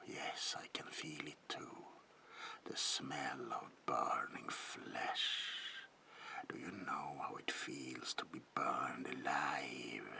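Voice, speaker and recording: An ancient vampire baron in a horror ASMR roleplay, whispering very slowly and theatrically. The voice is extremely low, with heavy gravelly fry, and his old-world politeness carries quiet menace. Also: heavily acted. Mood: quietly menacing. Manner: slow, theatrical, lingering whisper with archaic politeness. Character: creepy old male vampire baron, courteous, eerie, menacing.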